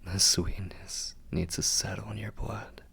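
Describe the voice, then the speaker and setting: A man's voice: on the low side, fairly even intonation, a little slow, creaky, a bit bright, husky, heavily acted. A man playing an ancient, possessive and doting vampire lord in an intimate ASMR roleplay, murmuring formally and drifting into whispers. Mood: hungry, coaxing.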